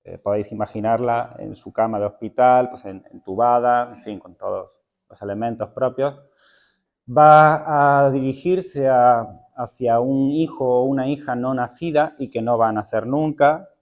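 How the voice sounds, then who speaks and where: A man introducing and reading a poem aloud at a literary event, speaking in a thoughtful, unhurried way with some hesitant fillers. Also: mid-range voice. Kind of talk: poetry reading with spoken introduction.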